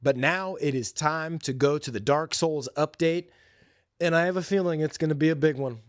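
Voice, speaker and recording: A man's voice: a little high, normal speed, clear, resonant tone, no room sound, clean studio sound. A man hosting a solo podcast, chatting casually and informally about films and games in slangy, hesitant phrases. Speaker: podcast host.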